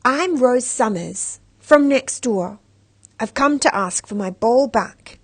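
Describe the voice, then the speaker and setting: Woman as a high-voiced plucky little girl. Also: animated, takes it slowly, no room sound, exaggerated voice. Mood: timid, polite. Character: young girl, plucky, polite and direct. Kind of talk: read-aloud children's storybook.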